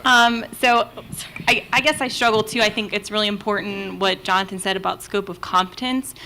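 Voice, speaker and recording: Bright flat-voiced woman from Pennsylvania. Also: mid-range voice, a little fast, slight background noise, rough recording. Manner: hesitant, with fillers and restarts. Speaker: behavior analyst, audience member. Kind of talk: conference discussion comment.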